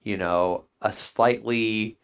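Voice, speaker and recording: A man speaks as a guest in a relaxed interview, his voice sitting somewhat low. He rambles with enthusiasm and acts out quick back-and-forths as he explains himself. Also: clear, resonant tone. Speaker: guest, monk class player. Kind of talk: casual interview chat.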